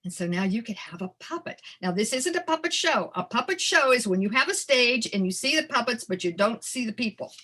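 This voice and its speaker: A woman presenting a storytelling workshop in an animated, theatrical and encouraging conversational manner. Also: fairly high pitch, brisk, few pauses. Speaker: storytelling presenter and early literacy educator. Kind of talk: workshop demonstration with storytime performance.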